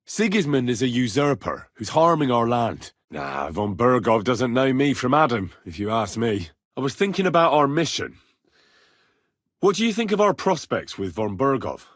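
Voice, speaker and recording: Husky young medieval adventurer. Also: male voice, medium pitch, very animated, moderate pace, a bit bright, no room sound, studio quality. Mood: earnest, conversational. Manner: colloquial British phrasing, plain-spoken. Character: young medieval adventurer, earnest, blunt, streetwise. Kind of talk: video game dialogue voice acting.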